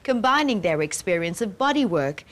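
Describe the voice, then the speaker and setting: An upbeat woman presents a television episode in scripted, announcing style. Her pitch moves in lively swings through each line. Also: normal speed.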